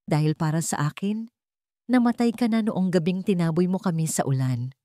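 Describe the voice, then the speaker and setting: A woman's voice: on the low side, normal speed, warm tone, no room sound, clean studio sound, close to an everyday voice. A woman voicing a proud, cold young single mother in a dramatic love story, stern and defiant.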